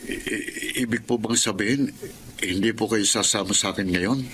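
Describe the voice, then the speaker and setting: A man's voice: on the low side, normal speed, a bit bright, slight background noise, close to an everyday voice. An actor with a Filipino accent playing a humble, hesitant working-class father and assistant in a radio drama. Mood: deferential, unsure.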